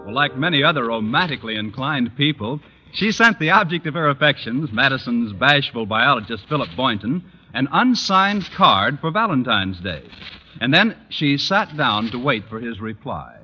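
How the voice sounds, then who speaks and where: A man's voice: medium pitch, sing-song, moderate pace, husky. A man working as a radio announcer, reading a scripted introduction in a mid-century broadcast style. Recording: muffled old radio recording.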